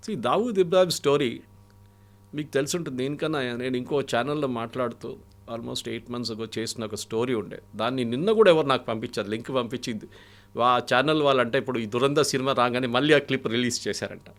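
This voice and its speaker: Gravelly Indian man giving political analysis. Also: medium pitch, a little fast, fairly bright tone. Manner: mixes English phrases into Telugu. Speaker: guest commentator and analyst. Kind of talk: political analysis, anecdotal storytelling in an interview.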